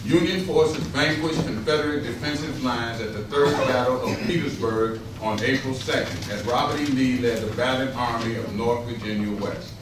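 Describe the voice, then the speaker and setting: A man's voice: medium pitch, moderate pace, few pauses. Heavily echoing male narrator, formal delivery. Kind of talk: scripted historical narration, readers theater. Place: echoing room at a stage rehearsal. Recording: very echoey, noisy room, distant mic.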